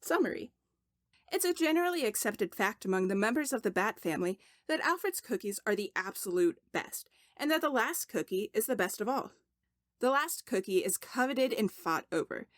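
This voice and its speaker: A woman reading a story aloud as expressive audiobook narration, deliberate, with dramatic pauses for emphasis. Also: fairly high pitch, dry recording, clean studio sound. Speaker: podfic narrator.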